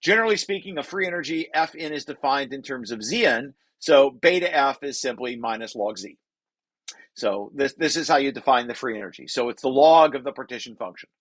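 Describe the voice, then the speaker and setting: A man presenting a technical paper, reading passages aloud and breaking off into informal, halting explanations with repeated restarts. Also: mid-range voice, lively intonation, fairly bright tone, no room sound.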